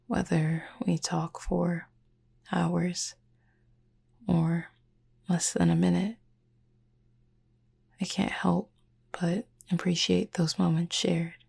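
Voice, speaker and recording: A woman playing a gentle, reserved cupid roommate in a sleep-aid roleplay, speaking softly and formally in a breathy near-whisper with long pauses. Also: on the low side, little pitch movement, exaggerated voice. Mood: calm, reflective. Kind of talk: slow, soft-spoken sleep-aid audio roleplay.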